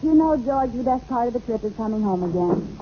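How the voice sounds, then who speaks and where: An American actress playing a vain, playful, wisecracking suburban wife in an old radio comedy. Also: female voice, on the high side, lively intonation, a little fast, close to an everyday voice. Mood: playful sleuthing. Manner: theatrical, wisecracking. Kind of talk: 1940s-50s radio comedy acting. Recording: muffled, noisy old radio recording.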